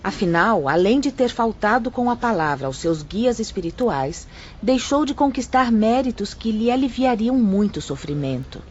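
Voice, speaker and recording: A woman as a patient spirit guide, calm explainer, muffled faint hiss. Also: fairly high pitch, normal speed. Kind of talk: audio drama acting. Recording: muffled lo-fi audio with faint noise.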